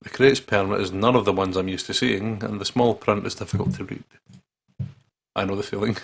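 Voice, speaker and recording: A man's voice: fairly low pitch, fairly even intonation, brisk, vocal fry, breathy edge. A Scottish man co-hosting a game playthrough, reading text aloud and bantering with irreverent swearing. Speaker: gaming channel co-host.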